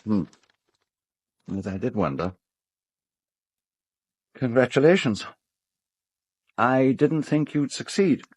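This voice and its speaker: An actor with a British accent playing an urbane, cold, self-justifying gentleman in an audio drama, speaking in a measured, articulate, dryly ironic way. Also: medium pitch, expressive, husky, dry recording. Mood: guarded, dry.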